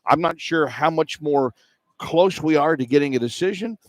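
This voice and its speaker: A man's voice: on the high side, moderate pace, no echo. A middle-aged or older man hosting radio sports coverage, giving postgame commentary. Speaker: play-by-play radio host. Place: indoor arena broadcast position.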